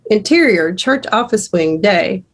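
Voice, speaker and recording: A woman's voice: mid-range voice, animated, measured pace, breathy edge. A woman reading screenplay stage directions as scripted narration.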